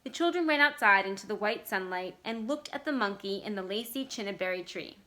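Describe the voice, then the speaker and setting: A woman reading literary fiction aloud as expressive scripted narration. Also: mid-range voice, a little fast. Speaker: library staff story reader.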